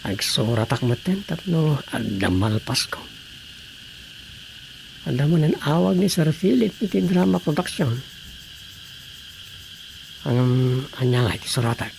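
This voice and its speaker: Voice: medium pitch, lively intonation, unhurried, vocal fry, pauses often. An actor playing a pensive writer stuck for ideas in a radio drama, with faint noise behind. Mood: pensive, frustrated.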